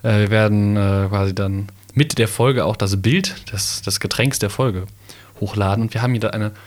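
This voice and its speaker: A young man co-hosting a casual podcast, slowly and haltingly reading a bottle label aloud with many fillers and playful asides. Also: on the low side, fairly even intonation. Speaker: podcast co-host.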